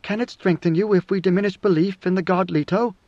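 A man's voice: high-pitched, fairly even intonation, fairly quick, a little mellow, no room sound, muffled recording. A man voicing a devoted, anxious young woman in a storybook reading, earnest, pleading and deferential.

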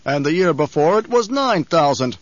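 A man voicing a fat, cruel baron who talks loudly and blusters. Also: fairly high pitch, sing-song, moderate pace, no echo, voice pushed up. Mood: blustering. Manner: loud, blustering, barking. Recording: dull, dry recording.

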